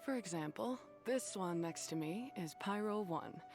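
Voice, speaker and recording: A woman voicing a brisk, blunt young female expert in an anime video game, matter-of-fact and to the point. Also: medium pitch, animated, darkish tone, soft background music. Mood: instructive. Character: brisk, no-nonsense young woman, seasoned creature-catching expert.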